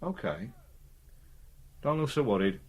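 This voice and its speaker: An actor playing a matter-of-fact British detective sergeant in a radio drama, questioning in a dry, measured way. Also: male voice, mid-range voice, big pitch swings, lo-fi sound. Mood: dry, reassuring. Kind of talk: naturalistic radio drama acting.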